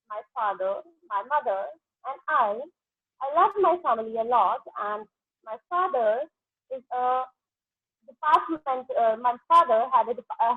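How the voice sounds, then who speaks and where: A woman's voice: a little high, slightly rough, no echo. Hesitant Indian woman over a phone. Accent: Indian English. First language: Punjabi. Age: 30s. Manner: hesitant, many fillers and restarts. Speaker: exam candidate, dentist. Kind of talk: mock exam answers. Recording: tinny phone-like line.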